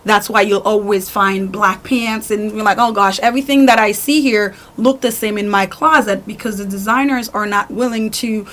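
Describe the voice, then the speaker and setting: A woman's voice: medium pitch, normal speed, hardly any pauses. A woman in her forties from Haiti, a boutique owner and stylist, telling her story in an interview and acting out what her customers say. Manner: animated storyteller, acts out customers' lines.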